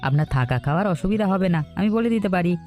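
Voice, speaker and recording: A woman's voice: fairly low pitch, lively intonation, very fast, mellow, soft background music, close to an everyday voice. A woman playing a poor, humble young orphan lad in a cartoon folktale, earnest and deferential.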